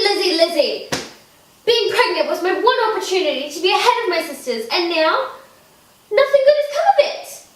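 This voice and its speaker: A woman playing a vain, giddy, petulant young Regency wife in a theatrical monologue, with affected, genteel, dramatic delivery. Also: very high-pitched. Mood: jealous, petulant. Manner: affected, dramatic, genteel old-fashioned phrasing. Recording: echoey room, distant mic, faint noise.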